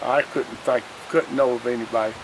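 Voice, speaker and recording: A man's voice: mid-range voice, steady tone, brisk. An older man with a Southern US accent casually reminiscing in a folksy, plainspoken way. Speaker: interviewee, retired coal miner. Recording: noisy outdoor recording with wind.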